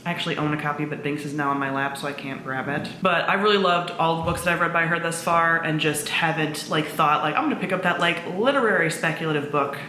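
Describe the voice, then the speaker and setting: A woman's voice: sits low, quick pace, few pauses, pushed and bright. A woman talking casually and enthusiastically to camera as a book vlogger, in an informal, chatty way. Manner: enthusiastic, informal with swearing and frequent "like". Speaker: book vlogger, presenter of own channel. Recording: roomy echo, faint background noise.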